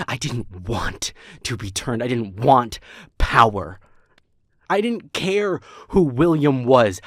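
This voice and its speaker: A man's voice: medium pitch, fairly bright tone, dry recording, studio quality, voice pushed up, heavily acted. A man voicing an emotionally raw, bitter and defensive male vampire in an intense, confessional audio roleplay. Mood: furious, anguished. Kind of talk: dramatic audio roleplay acting.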